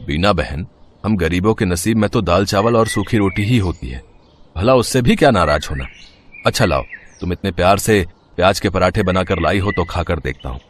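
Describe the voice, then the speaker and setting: An actor playing a kindly, poor middle-aged onion seller who talks slowly and gently in a cartoon story. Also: male voice, low voice, expressive, a little hiss or hum. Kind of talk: Hindi cartoon voice acting.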